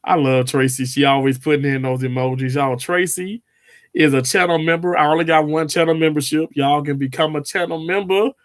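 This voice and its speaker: A man's voice: fairly high pitch, steady tone, brisk. A man, chatting casually with viewers on a livestream, rambling with lots of fillers and restarts. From Mississippi, US. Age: 30s. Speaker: livestream host.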